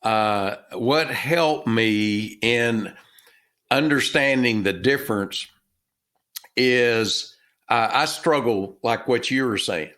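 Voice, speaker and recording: A slow, unhurried older man with a Texan drawl speaks at a middling pitch. He answers interview questions in a folksy, halting way and fills his pauses with plenty of "uh" and "um". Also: fairly bright tone. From Texas. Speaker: author and former business owner.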